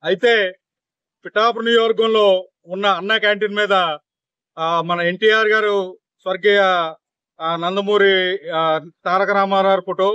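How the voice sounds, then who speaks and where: A middle-aged man, a local party leader, speaks emphatically into press microphones outdoors, pausing on drawn-out fillers. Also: high-pitched, steady tone, sharp tone, clear, resonant tone, no room sound, muffled recording. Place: outdoors on a street. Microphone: handheld press microphones.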